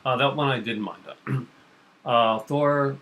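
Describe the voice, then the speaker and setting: A man chatting casually, relaxed and rambling, with hesitant restarts. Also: medium pitch, slow pace, phone-quality sound. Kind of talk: casual chat.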